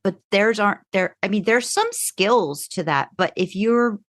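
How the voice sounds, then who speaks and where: A woman's voice: medium pitch, lively intonation, a little slow, no echo, clean studio sound. A woman co-hosting a casual podcast interview, agreeable and thinking aloud, with many mm-hmms. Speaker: podcast co-host.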